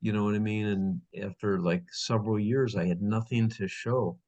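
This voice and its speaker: A man's voice: on the low side, fairly even intonation, normal speed, darkish tone, highs cut off. A middle-aged man hosting a business interview show, talking in a rambling way with lots of fillers. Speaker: host. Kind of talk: interview host chat.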